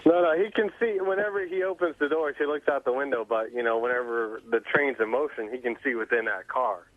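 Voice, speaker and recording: A man on a radio talk show, nervous and stammering as he explains himself in halting bits. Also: medium pitch, no room sound. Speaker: show staffer. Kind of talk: casual radio chat. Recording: tinny phone-like line.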